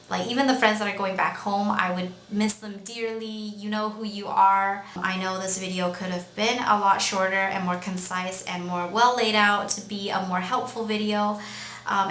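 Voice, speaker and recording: A young woman with a Canadian accent talking casually and unscripted to camera as a vlogger, rambling a little with hesitant pauses. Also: mid-range voice, a bit bright. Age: young adult. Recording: echoey room with faint noise.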